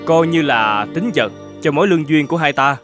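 An actor playing a kind, humble older shepherd in an animated folk tale, speaking politely and gently. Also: male voice, fairly high pitch, brisk, soft background music. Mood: kind, gentle. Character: kind, humble older shepherd, hospitable, fearful under threat.

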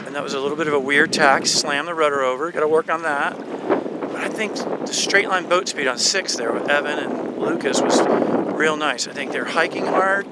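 A man with a slightly high voice coaches a sailing team from a motorboat. He mixes calm explanation with shouted commands to the sailors. Wind noise roars across the camera mic. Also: normal speed. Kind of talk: live on-the-water coaching commentary. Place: outdoors on a motorboat on a lake.

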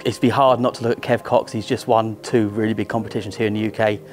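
A man answering interview questions as an angler. He talks in a brisk, chatty way on a lapel mic indoors. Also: on the low side, little pitch movement, faint music behind. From Lincolnshire, England. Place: indoor room.

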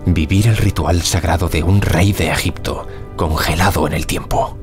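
An actor playing an awed, reflective archaeologist in an audio drama, speaking with solemn, measured wonder. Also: male voice, low-pitched, steady tone, close to an everyday voice. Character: Howard Carter, English archaeologist, awed, intense, reflective. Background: music behind the voice.

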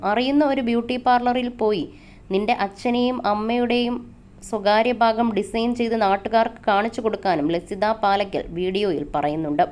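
Woman, medium pitch, scripted news narration. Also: darkish tone.

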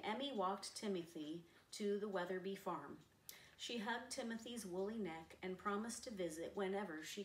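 A middle-aged woman reads a children's picture book aloud in an expressive storyteller's manner. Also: medium pitch. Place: living room, seated on a sofa. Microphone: camera a few metres away. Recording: roomy, distant camera mic, faint noise.

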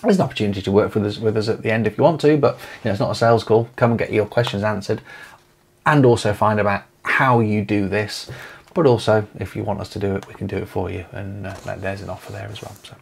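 A man's voice: lowish voice, quick pace, vocal fry, breathy edge. A man, talking casually to camera from a home office, rambling with many fillers and restarts while leafing through papers. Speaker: property investment company owner. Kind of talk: casual to-camera video talk. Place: home office with desk and monitors.

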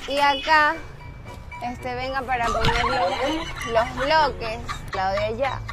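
A woman's voice: a little high, slow pace, loud music behind the voice. A young woman hosting a street prank, coaxing and playfully bossing around people who help her. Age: young adult. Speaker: prank video host. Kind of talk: hidden-camera street prank. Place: outdoor street construction site.

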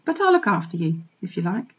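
A woman's voice: on the low side, big pitch swings, a little fast, husky, lo-fi sound. A woman playing a kind, motherly collie dog. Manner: gentle, soothing, calls the piglet dear. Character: motherly female collie, kind but firm.

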